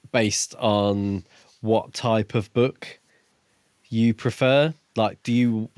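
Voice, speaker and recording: A man co-hosting a podcast, discussing books casually in a measured, reflective way. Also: fairly low pitch, clean tone, dry recording. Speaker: podcast co-host. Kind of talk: casual book discussion chat.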